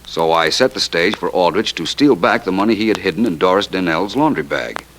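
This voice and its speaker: Deep-voiced suave detective. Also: male voice, animated, brisk, fairly bright tone. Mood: cool, explanatory. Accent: American. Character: suave, self-assured male private detective, cool and teasing. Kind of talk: 1940s radio drama acting. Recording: noisy vintage 1940s radio recording.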